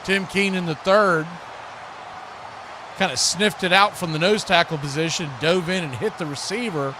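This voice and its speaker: A man's voice: sits high, normal speed. A man doing live play-by-play radio commentary of an American football game, describing the action in short phrases. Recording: clearly noisy live stream recording.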